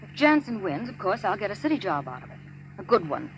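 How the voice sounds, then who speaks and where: A woman's voice: mid-range voice, sing-song, lo-fi sound. An actress playing an ambitious, earnest young woman lawyer in a radio crime drama, wary and questioning. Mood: earnest, insistent. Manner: wary, questioning, quick to justify herself. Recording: slightly noisy old radio recording.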